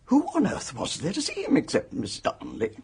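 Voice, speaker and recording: A performer playing a blunt, hearty spinster hotel guest in a radio mystery, forthright and emphatic with a husky voice. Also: male voice, very high-pitched, huge pitch swings, moderate pace, darkish tone, full character voice. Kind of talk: radio mystery drama acting.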